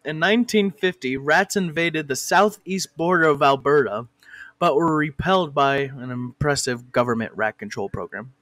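A man's voice: a little high, moderate pace, a bit bright, no echo. A man narrating a comic fan parody of an educational cartoon, breaking off to swear and argue with a director.

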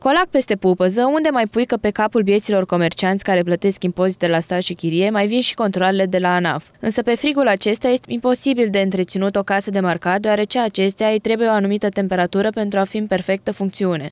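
A woman's voice: mid-range voice, hardly any pauses, clear, resonant tone, dry recording. A woman working as a TV news reporter, reading a scripted voice-over.

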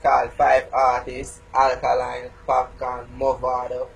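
A man's voice: medium pitch, completely flat delivery, slow, creaky, a little hiss or hum. A Jamaican man speaking casually as a prank caller, with the sound of a phone call. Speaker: prank caller, show host.

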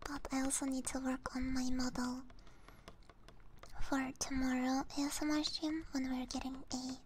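A woman whispering softly and casually to her viewers as an ASMR livestreamer, close to a binaural microphone. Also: high voice, little pitch movement, moderate pace, noisy background. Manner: soft, hushed whispering.

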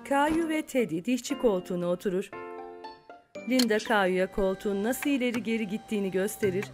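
A woman's voice: mid-range voice, brisk, music in the background, lightly acted. A woman reading scripted narration for a children's cartoon story.

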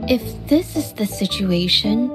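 A woman's voice: mid-range voice, animated, a little slow, lightly acted. A woman playing a shy, easily flustered young painter in a video game, hesitant and stammering. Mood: hesitant, concerned. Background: game music.

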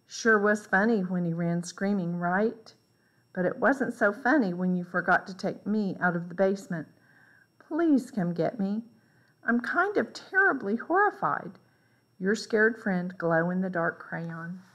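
Woman reading a kids book out loud, takes it slow, expressive, medium pitch. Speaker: read-aloud storyteller. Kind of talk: picture book read aloud.

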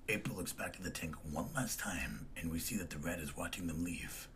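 Deep guy narrating a comic panel by panel, noisy recording. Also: a little fast, fairly bright tone. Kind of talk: scripted descriptive comic narration.

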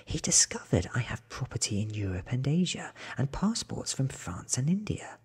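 A man in his thirties reading a book aloud in a soft, half-whispered ASMR style, with hushed, deliberate phrasing close to the mic. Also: medium pitch, sing-song, husky. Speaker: audiobook reader.

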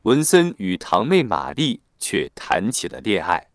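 A man's voice: fairly low pitch, huge pitch swings, unhurried, noisy background. A man reading a scripted plot summary as a narrator. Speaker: film recap narrator.

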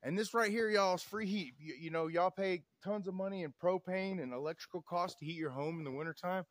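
Thirties man, preachy folksy off-grid vlogger, higher-pitched voice, dry sound, filmed by a tripod camera outside. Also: brisk, dry recording. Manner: folksy, preachy, keeps saying y'all. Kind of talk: outdoor vlog talk to camera. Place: snowy woods outdoors. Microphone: camera on tripod, a few meters away.

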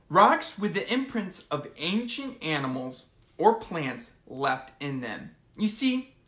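A man in his twenties hosting a children's storytime, reading aloud and chatting informally in an animated way, recorded in a small room at home. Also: on the high side. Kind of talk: casual live storytime, reading aloud to kids. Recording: roomy home phone recording.

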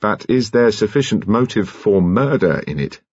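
A man's voice: on the low side, animated, moderate pace, a little mellow. A man playing a plain-spoken, respectful, sedate policeman in an audiobook. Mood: stolid. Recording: muffled, echoey room.

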